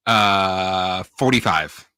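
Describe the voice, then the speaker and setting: A man's voice: sits low, extremely slow, pushed and bright, clean tone, no room sound, studio quality. An American man co-hosting a sports talk show, casually reading out stats and chatting. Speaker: sports radio co-host.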